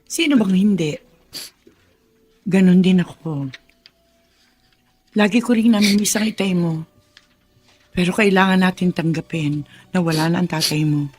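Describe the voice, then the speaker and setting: A woman's voice: lowish voice, unhurried, pauses often, darkish tone, husky. An actress playing a middle-aged widowed mother in a radio drama, sorrowful and soothing as she consoles. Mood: tender sorrow. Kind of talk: melodramatic radio drama acting.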